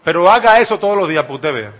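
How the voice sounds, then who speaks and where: Man, Dominican accent, preacher, emphatic sermon, bright forceful voice, repeats lines. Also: high voice, animated, very fast. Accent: Dominican Spanish. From Dominican Republic. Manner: emphatic, repeats phrases for effect.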